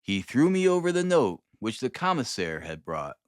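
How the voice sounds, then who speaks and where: A man narrating a classic novel as an audiobook, expressive, with deliberate, dramatic phrasing. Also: mid-range voice, clear, resonant tone, no echo, clean studio sound.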